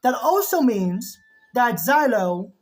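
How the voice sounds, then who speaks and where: A woman's voice: a little high, very animated, slow pace. A woman giving casual reaction commentary, chatty and rambling, who keeps saying just saying, with a sore throat. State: sore throat, losing her voice. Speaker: video reactor.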